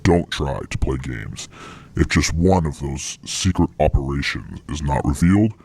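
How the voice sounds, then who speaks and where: A man playing a menacing, self-righteous terrorist who delivers an ominous, deliberate scripted threat in a fictional hijacked broadcast. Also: animated, a little mellow, exaggerated voice. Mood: menacing, taunting. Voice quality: gravelly, husky, very deep. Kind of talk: scripted villain monologue in a fictional emergency broadcast.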